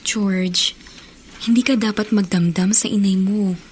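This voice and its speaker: An actress playing a gentle, reasoning young woman confidante in a radio drama, with a husky voice. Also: medium pitch, steady tone, measured pace, faint music behind, lightly acted. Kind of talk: Tagalog radio drama acting.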